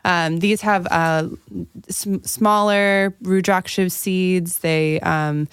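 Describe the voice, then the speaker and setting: A woman's voice: on the low side, fairly even intonation, slow, clean tone, dry recording, studio quality. A woman co-hosting an astrology podcast, talking in a casual, conversational way.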